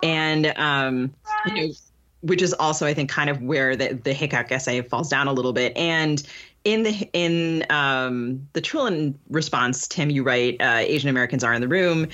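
Podcast host talking books, mid pitch, kinda halting and thoughtful, bit muffled audio. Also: bright, forceful tone. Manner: thoughtful, halting, searching for words. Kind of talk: literary discussion with reading from an essay. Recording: dull, lo-fi sound.

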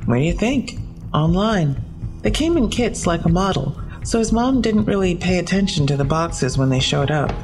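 A woman's voice: on the low side, animated, normal speed, background noise, lightly acted. A woman acting a businesslike police detective in an audio drama, speaking in a matter-of-fact, slightly awkward way. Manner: matter-of-fact, clipped case briefing.

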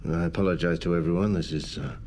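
A man playing a wealthy, self-possessed middle-aged mission leader in an audio drama, measured and polite. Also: sits low, fairly even intonation, a little mellow, slight background noise, close to an everyday voice. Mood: sheepish, composed. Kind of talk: naturalistic audio drama acting.

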